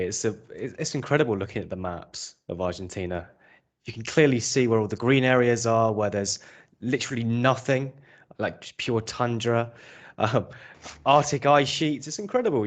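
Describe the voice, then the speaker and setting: A man from England hosting an educational podcast in a casual interview style. He sounds upbeat and encouraging, with a voice of medium pitch. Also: lively intonation, moderate pace. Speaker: podcast host.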